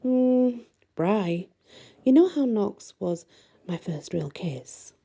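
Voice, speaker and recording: A woman's voice: mid-range voice, expressive, slow pace, dark tone, husky, pitched above the performer's own voice, heavily acted. A woman voicing a wry, candid teenage girl heroine in a bedtime story, chatty and slightly sheepish. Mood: sheepish, confiding.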